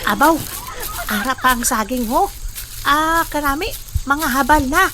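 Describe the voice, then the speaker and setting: Woman acting a high-voiced gleeful banana thief. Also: measured pace, noisy background. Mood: eager greedy. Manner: chuckling, muttering to oneself. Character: sneaky gleeful banana thief, chuckling greedily. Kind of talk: comedic audio drama acting.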